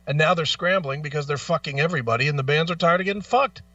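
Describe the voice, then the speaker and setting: A man's voice: on the high side, fast, bright, forceful tone, distant mic, dull audio. A man hosting a talk show, speaking casually and bluntly with opinions, swearing freely and sounding exasperated and emphatic.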